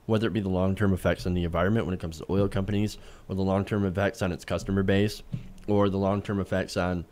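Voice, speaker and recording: A man's voice: low-pitched, little pitch movement, fast talker, a little mellow. A man co-hosting a political talk show, arguing his points emphatically with rhetorical questions. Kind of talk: opinionated news commentary.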